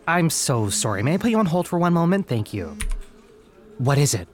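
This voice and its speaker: A man's voice: a little high, big pitch swings, normal speed. A man playing a polite, patient young customer service agent in a comedy audio drama, careful and courteous. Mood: courteous, helpful, then tense, flustered.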